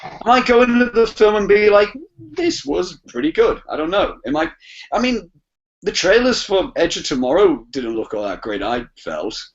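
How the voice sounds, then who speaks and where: Higher-pitched man, rambling film chat. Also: very animated, normal speed. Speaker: podcast guest. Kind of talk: casual film discussion. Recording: dull lo-fi audio.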